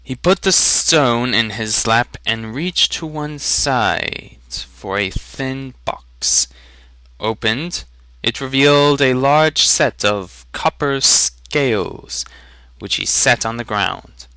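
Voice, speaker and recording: A man's voice: mid-range voice, takes it slowly, pushed and bright, smooth, no room sound. A man reading fantasy fiction aloud as a dramatic, theatrical narration. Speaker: amateur audiobook reader.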